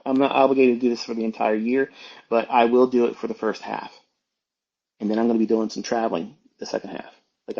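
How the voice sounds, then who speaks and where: Husky guy doing an off the cuff lecture, blunt and preachy, kinda muffled lo-fi audio. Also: medium pitch, a little mellow. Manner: emphatic, blunt, admonishing, repeats phrases for stress. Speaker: trading educator hosting an online audio talk. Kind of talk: informal unscripted monologue, lecturing.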